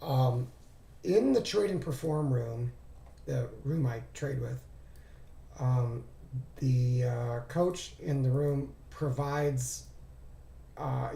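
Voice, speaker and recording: A man speaks in a hoarse, gravelly voice with a soft, mellow tone, as if his voice is only just returning. He teaches informally in a halting, think-aloud way, talking through a shared screen. The recording has a noticeable room echo. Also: mid-range voice. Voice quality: raspy. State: hoarse, recovering from laryngitis. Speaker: trading instructor reviewing charts. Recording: roomy, echoing voice recording.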